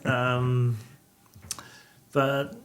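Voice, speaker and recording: A man, a Samoan speaker, giving calm, measured interview answers with many hesitations. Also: mid-range voice, noisy background. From New Zealand. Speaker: rugby coach, guest.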